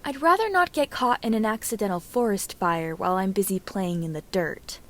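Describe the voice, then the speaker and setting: Storybook role: young kunoichi, read by a woman, dry, teasing, quick to retort. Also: mid-range voice, lightly acted.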